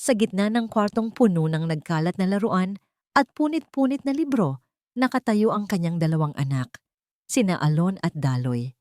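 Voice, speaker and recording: A woman narrating a scripted audiobook story in a deliberate, dramatic way. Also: fairly low pitch, sing-song, a little mellow, no room sound, studio quality.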